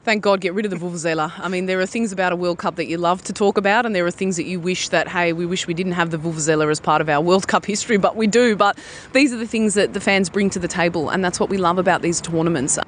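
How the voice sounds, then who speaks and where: A woman's voice: lowish voice, fast. Woman, sports broadcaster, earnest and fluent, light background noise outdoors. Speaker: football broadcaster. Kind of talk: interview answers.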